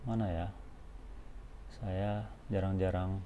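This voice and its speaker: A man's voice: deep voice, little pitch movement, takes it slowly, warm tone, lo-fi sound, a little hiss or hum. A man. He presents a product tutorial in a casual, hands-on way. His delivery is informal, moving step by step with little check-in tags along the way.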